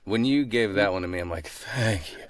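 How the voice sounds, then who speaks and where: A man's voice: lowish voice, fairly quick. A man who co-hosts a podcast and does voice acting, talking casually and informally. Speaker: podcast co-host and voice actor. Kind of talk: casual chat.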